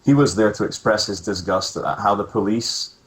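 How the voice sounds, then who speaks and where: A man's voice: lowish voice, moderate pace, poor audio. A man giving a spoken eyewitness account as a guest in an interview.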